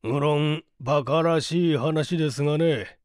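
A man voicing a mild, sheepish gentleman and father in an audiobook, speaking in a deliberate, self-deprecating way. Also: a little high, no room sound, clean studio sound. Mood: explaining. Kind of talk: audiobook character reading.

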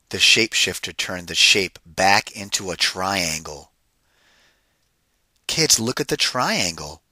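A man reading a picture book aloud to children as a teacher, deliberate and emphatic, addressing them directly. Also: low-pitched, sharp tone, dry recording. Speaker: read-aloud narrator and teacher.

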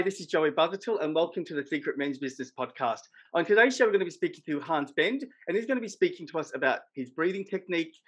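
A man's voice: fairly high pitch, fairly bright tone. A middle-aged man hosting a podcast interview over a video call on a headset mic, asking friendly, chatty questions. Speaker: podcast host.

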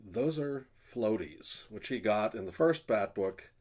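A man's voice: lowish voice, expressive, unhurried, darkish tone. A middle-aged man speaking to a webcam at home, introducing himself as an author and posing a trivia question. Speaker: children's author and illustrator. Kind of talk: self-recorded trivia question to camera. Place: indoors, home studio.

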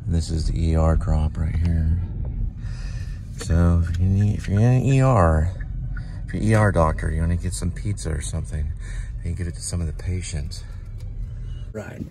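A man with a drawl talks in a very deep voice as he makes his delivery rounds, rambling and trailing off as he goes. Road and vehicle noise carries clearly around him. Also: normal speed, long pauses. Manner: rambling, offhand, trails off and repeats himself. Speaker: vlogger and delivery driver. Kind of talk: casual talk to camera.

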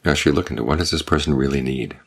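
An older man, a Buddhist monk, giving a calm, measured evening Dhamma talk with unhurried pauses. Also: a bass voice, gravelly. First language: English.

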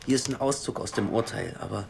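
A man's voice: lowish voice, vocal fry, noisy background. An actor playing a cocky, teasing male hacker in an audio drama. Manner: teasing and jokey, rushes when excited. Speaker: hacker colleague.

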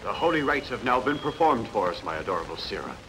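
A man's voice: fairly low pitch, sing-song, fast, over music, low-quality recording. A man playing a middle-aged, domineering, scheming king with imperious menace in a theatrical film dub. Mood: smugly gracious. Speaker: actor in a fan-dubbed film. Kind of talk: theatrical sword-and-sandal film dubbing.

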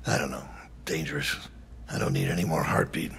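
An actor voicing a cocky, flirtatious cowboy crime boss in a video game, with a gravelly, drawling, slangy delivery. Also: male voice, a bass voice, brisk, a bit bright, a little hiss or hum, exaggerated voice. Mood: cool, sly. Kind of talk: cinematic video game acting.

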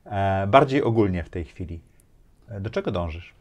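Deep, gravelly male host. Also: expressive, normal speed, a little mellow. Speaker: interview host. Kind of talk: casual interview questioning. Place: small furnished indoor studio, seated.

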